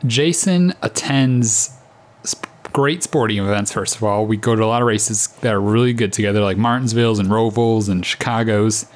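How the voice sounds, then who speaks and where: Chatty young man telling racing stories. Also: medium pitch. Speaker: podcast co-host. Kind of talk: casual chat.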